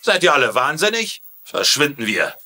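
A man's voice: mid-range voice, very animated, normal speed, pushed and bright, heavily acted. An actor playing a hot-headed, defensive father in a dubbed TV mystery, speaking bluntly and irritably. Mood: indignant. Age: middle-aged. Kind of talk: dubbed TV mystery drama acting.

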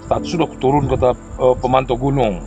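A man's voice: mid-range voice, level tone, quick pace. A man from North Sumatra, a local guide giving brief, plain answers outdoors in a village. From North Sumatra, Indonesia. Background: music.

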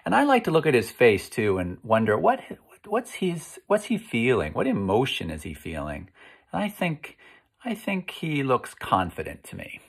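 An American man in his fifties reading a picture book aloud to children in a slow, expressive, encouraging way, pausing to ask them questions. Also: medium pitch, gravelly, husky. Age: 50s. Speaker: children's read-aloud host. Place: indoor room at home.

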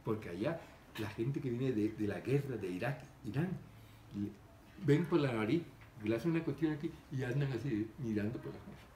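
A man's voice: mid-range voice, moderate pace. An older man, telling a story in casual conversation and animatedly acting out the people in it. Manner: animated, gestures a lot, voices the people in his story. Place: room with framed pictures, seated at a table indoors. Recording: echoey room with background noise.

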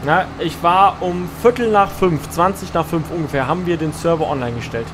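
A man talks his way through a live farming-game stream, greeting viewers and answering their chat as he plays. His voice sits high for a man. A steady noise runs under the recording. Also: a little fast. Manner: chatty, answers chat messages while playing.

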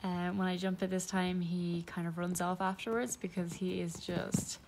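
Chatty young woman with a gravelly edge. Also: on the low side, little pitch movement, normal speed, background noise. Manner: casual, chatty, many ums and small laughs. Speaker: equestrian vlogger. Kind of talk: voice-over talking through a ride.

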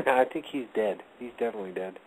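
A man's voice: mid-range voice, quick pace, slight background noise, rough recording. A man co-hosting a casual radio chat show, rambling and joking as he tells stories. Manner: rambling, jokey, animated storyteller. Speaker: co-host.

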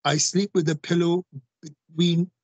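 A man's voice: fairly high pitch, flat delivery, unhurried, darkish tone, no room sound, lo-fi sound. A man in his forties, a guest speaking emphatically on a video call, repeating phrases and addressing listeners directly. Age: 40s. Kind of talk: emphatic interview talk.

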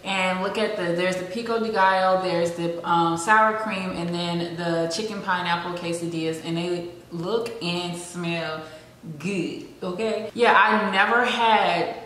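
A woman's voice: fairly low pitch, fairly even intonation, a little slow, a bit bright. A young woman vlogging in her home kitchen, reading recipe steps aloud and adding playful asides to viewers. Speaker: lifestyle vlogger. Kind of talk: casual cooking vlog, reading recipe steps. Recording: faint fan noise in a kitchen. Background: vent fan noise.

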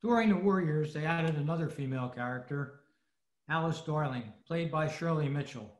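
A man's voice: on the high side, animated, normal speed. A man giving a prepared lecture as presenter on a video call. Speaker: presenter at an old-time radio club meeting. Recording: roomy, echoey video-call sound.

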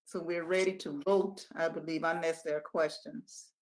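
A woman's voice: low voice, fairly even intonation, moderate pace, rough recording. A woman council member making formal remarks in a meeting over a video call.

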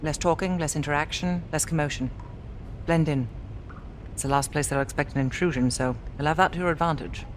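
An actress playing a poised, sardonic British intelligence agent in a video game, articulate and measured, with a dry manner. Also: female voice, low-pitched, slight background noise, close to an everyday voice. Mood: dry, businesslike. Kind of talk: scripted video game cutscene acting.

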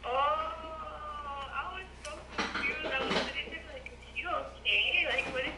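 Very high female voice over phone speaker. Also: steady tone, measured pace, noisy background. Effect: heard through a phone speaker. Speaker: friend receiving a prank call. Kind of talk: casual slangy phone chat. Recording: distant phone speaker in echoey room.

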